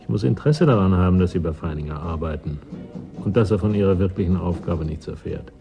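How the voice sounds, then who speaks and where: A cynical schemer with a low, gravelly voice. He talks in a deliberate, sardonic and insinuating way, as in a radio drama. The sound is dull, with music behind. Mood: sly bargaining. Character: calculating, cynical male schemer in a frozen settlement. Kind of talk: German radio drama acting.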